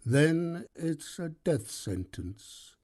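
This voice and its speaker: An actor playing a grave, humble captive traitor in an animated game cutscene, husky and halting. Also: male voice, a little high, full character voice. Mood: weary, humble.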